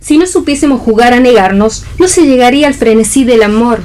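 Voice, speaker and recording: A woman's voice: a little high, fairly quick, mellow, natural voice. An actress playing a sensual, passionate, philosophizing woman in a theatrical radio reading. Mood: declaiming. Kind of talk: read-theatre stage acting on radio.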